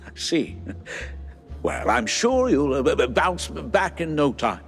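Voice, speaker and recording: A man's voice: fairly high pitch, very animated, unhurried, faint music behind. A man voicing a flustered, genteel cartoon mouse politician who stammers and hesitates politely. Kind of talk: animated game voice acting.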